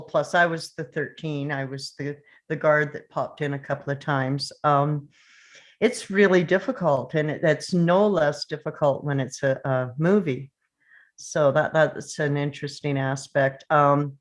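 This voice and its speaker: A woman's voice: low voice, unhurried. A woman actor talking casually and reflectively in a group discussion. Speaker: actor in a table read.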